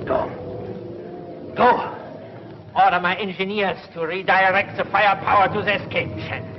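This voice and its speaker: Slow, grandiose villainous tyrant. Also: male voice, sits high, creaky, heavily acted. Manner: grandiose, theatrical, drawn-out declaiming. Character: megalomaniac, vengeful male tyrant of an underground world. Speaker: actor playing a villain. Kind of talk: 1950s television sci-fi serial acting. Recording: dull 1950s TV soundtrack with music.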